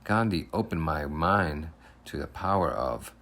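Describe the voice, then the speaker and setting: A man with a low voice narrates a children's picture book. He speaks in the first person with emphatic, deliberate phrasing, and his intonation moves up and down in a very lively way. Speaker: storybook reader.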